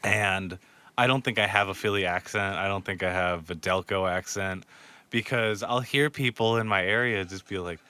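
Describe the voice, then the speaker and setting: Bright, laid-back Philly guy. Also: deep voice, steady tone, normal speed, clean tone, dry recording. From Philadelphia area, USA. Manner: laid-back, swears often, laughs a lot. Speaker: podcast host. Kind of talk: casual chat.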